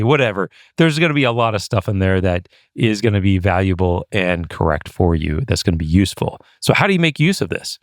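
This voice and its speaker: A chatty man with a low voice co-hosts a podcast, talking loosely and dropping in fillers as he goes. His voice sounds close and dry, with no room echo. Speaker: podcast co-host. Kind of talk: casual podcast chat.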